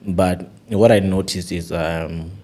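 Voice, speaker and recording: A man's voice: sits low, steady tone, slow, darkish tone. A young man talking earnestly and with animation in a casual podcast conversation, often saying "you know".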